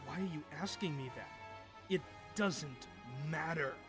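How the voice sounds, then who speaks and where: A man's voice: on the high side, unhurried, over music, close to an everyday voice. A man playing a hot-headed young male fighter who talks brashly and impatiently, in a fan radio drama. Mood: irritated. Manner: impatient, brash, shouts battle cries.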